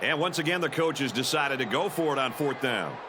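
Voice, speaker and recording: A man's voice: medium pitch, lively intonation, fairly quick, noisy background, lightly acted. A man playing a play-by-play announcer in an American football video game. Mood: focused commentary. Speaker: play-by-play commentator.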